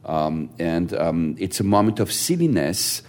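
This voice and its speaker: Man, very low voice, enthusiastic, hesitant phrasing, panel talk. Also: big pitch swings, unhurried, a little mellow. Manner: enthusiastic, searching for words. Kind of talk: panel discussion.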